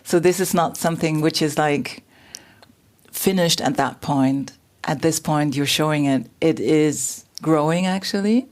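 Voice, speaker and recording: Low-voiced interviewer woman. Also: a little slow, darkish tone. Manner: thoughtful, searching for words. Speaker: interviewer, host. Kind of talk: interview questions.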